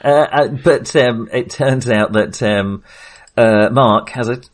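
A man's voice: medium pitch, takes it slowly. A man in a casual film discussion, thinking aloud in a hesitant way and correcting himself as he goes. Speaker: film podcast host.

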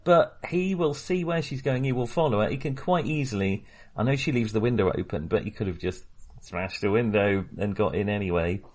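Male podcast co-host, lowish voice, informal film talk with a halting, hesitant delivery. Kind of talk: casual film discussion.

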